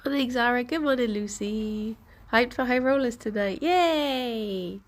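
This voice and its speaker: Bunged up young woman chatting slow and easy with her stream chat, giggly. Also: on the high side. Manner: soft, chatty, laughs often, greets chat by name. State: congested, stuffy nose. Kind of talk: casual morning chat with viewers.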